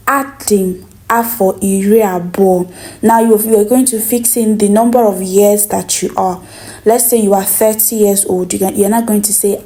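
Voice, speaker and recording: A woman teaching a language lesson, saying each phrase slowly and repeating it for learners. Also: medium pitch, a little mellow.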